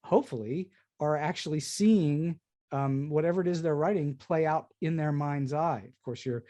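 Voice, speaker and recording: A man's voice: a little high, moderate pace, dark tone. A man hosting a conversational interview, probing and reflective, restating what he hears as he asks questions. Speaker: podcast host, interviewer.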